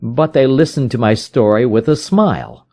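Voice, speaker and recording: A man's voice: mid-range voice, normal speed, darkish tone, pitched above the performer's own voice, lightly acted. A man voicing a helpless, frightened young client in an audiobook, with earnest and formal Victorian diction. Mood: earnest, troubled. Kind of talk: audiobook character voice in a detective story.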